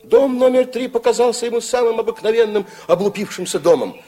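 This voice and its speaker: A man narrating a fairy-tale radio play from the 1960s in an expressive, theatrical way. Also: extremely high pitch, brisk, a little hiss or hum. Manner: theatrical, storytelling.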